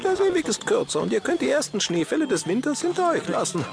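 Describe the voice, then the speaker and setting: An actor playing a kindly, cautioning eccentric wizard in a German fantasy audio drama, with an animated manner. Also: male voice, very high voice, fast talker, vocal fry, full character voice. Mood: helpful, cautioning.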